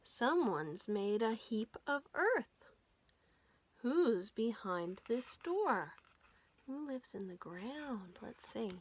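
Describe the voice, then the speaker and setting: A woman hosting a children's storytime, reading aloud in an animated, sing-song way and putting questions to young listeners. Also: a little high, takes it slowly, a little hiss or hum.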